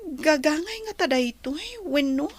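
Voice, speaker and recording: Wistful young woman working abroad, very high voice, audio drama, slightly noisy track female actor. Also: animated, a little slow.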